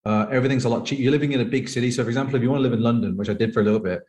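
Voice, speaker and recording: Fast-talking man from the UK, thirties. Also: mid-range voice, a little mellow. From United Kingdom. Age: 30s. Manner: rambling, heavy use of "like". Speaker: professional poker player guest. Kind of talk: casual interview chat. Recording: echoey room.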